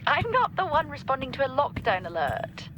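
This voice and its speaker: A woman playing a practical, teasing crewmate on a comms call in a video game, with a dry, brisk manner. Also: high voice, huge pitch swings, a bit bright, faint music behind, exaggerated voice. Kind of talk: naturalistic video game acting.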